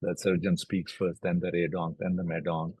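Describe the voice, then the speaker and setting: A middle-aged man, a native Urdu speaker, explaining medical cases in a measured, step-by-step way during a recorded interview. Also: low-pitched, flat delivery, dark tone, slightly rough, dry recording. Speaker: oncologist and platform co-founder.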